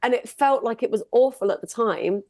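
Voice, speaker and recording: A woman's voice: on the high side, a little fast, a little mellow, dry recording. British woman chatting on a podcast, upbeat, laughs a lot, dry close mic. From United Kingdom. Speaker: podcast guest, singer and sound healer. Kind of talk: casual conversational interview.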